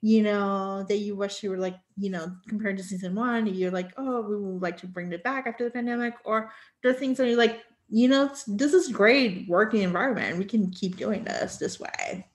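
A woman's voice: mid-range voice, moderate pace, few pauses. A woman hosting a podcast, interviewing her guests in a casual, chatty style.

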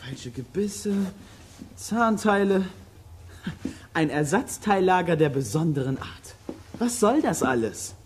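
A performer playing a bold, practical boy detective with a brisk, decisive manner in a children's audio drama. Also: medium pitch, very animated, a little hiss or hum. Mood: intrigued.

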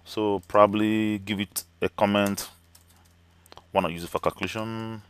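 A man's voice: fairly low pitch, fairly even intonation, moderate pace, fairly bright tone, clear, resonant tone. A male teacher talking through a screen-recorded spreadsheet lesson, chatty, with many fillers, his voice slightly cracking. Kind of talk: screen-recorded software tutorial.

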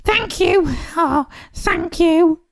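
Very shrill, excitable witch. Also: extremely slow, vocal fry, no echo, studio quality, full character voice. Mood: grateful. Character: excitable, grateful storybook witch, shrill female.

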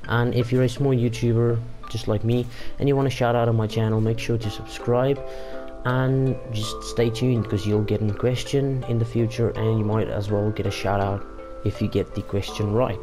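A man's voice: medium pitch, level tone, normal speed, music in the background. A young man whose first language is Afrikaans, casually commenting over gameplay with many fillers and restarts. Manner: rambling, frequent fillers and restarts. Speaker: gaming YouTuber.

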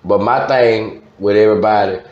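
An older man with a Louisiana Southern accent, reminiscing casually as an interview guest in an unhurried, folksy way. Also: lowish voice, steady tone. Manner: unhurried, folksy, with frequent "man" and "you know". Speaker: interview guest, music promoter. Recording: roomy, dull lo-fi sound.

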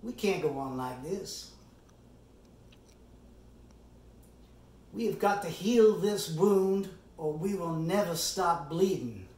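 A man performing a stage monologue as an earnest, impassioned middle-aged defence lawyer, speaking deliberately with dramatic pauses and emphatic oratory. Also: high voice. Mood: grave, pleading. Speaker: amateur actor performing a monologue. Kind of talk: theatrical courtroom summation monologue. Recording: roomy echo, distant microphone.

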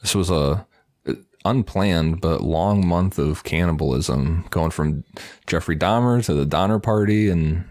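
A low-key young co-host reads listener reviews and keeps his answers short. His voice is very deep, and the sound is close and dry. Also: measured pace, a little mellow, dry recording. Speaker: podcast co-host. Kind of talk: casual podcast banter.

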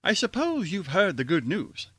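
Casting: actor as impatient college chairman, highish lively voice, brusque, radio comedy. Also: moderate pace, slightly rough, no room sound, exaggerated voice. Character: exasperated, tight-fisted college board chairman. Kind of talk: old-time radio comedy acting.

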